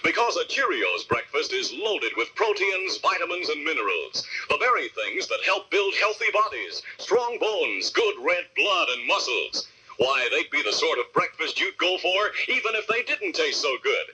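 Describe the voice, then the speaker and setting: Piercingly bright, husky vintage male announcer. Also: a little high, big pitch swings, moderate pace, rough recording. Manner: emphatic sales delivery. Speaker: radio announcer. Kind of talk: vintage radio commercial and credits announcing.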